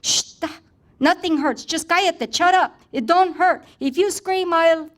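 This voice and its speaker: Menacing father, hushed and wheedling, harsh commands, high-pitched, as a woman puts him on. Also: unhurried. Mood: coaxing, menacing. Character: coaxing, menacing father hushing his child.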